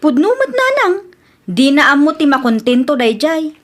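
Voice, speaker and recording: A woman acts an outspoken young woman in a comedy radio drama. She has a high voice and sounds stubborn and thoroughly fed up. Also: big pitch swings, moderate pace. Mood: complaining.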